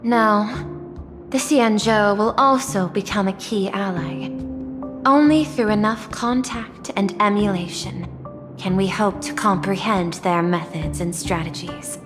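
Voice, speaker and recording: A woman playing a refined, calculating machine leader in a video game, speaking formally and analytically with calm courtesy. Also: mid-range voice, lightly acted. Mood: composed, courteous. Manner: measured, formal, analytical wording. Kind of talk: scripted game voice acting. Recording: long reverb, background music.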